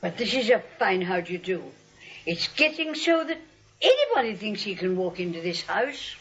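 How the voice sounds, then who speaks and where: Actress doing fussy sweet old auntie in a 40s radio comedy, lilting and prim, muffled old recording. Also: female voice, medium pitch, sing-song, normal speed, very noisy, close to an everyday voice. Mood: indignant. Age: older. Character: sweet, indignant elderly aunt, primly matter-of-fact about murder. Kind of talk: 1940s radio comedy acting.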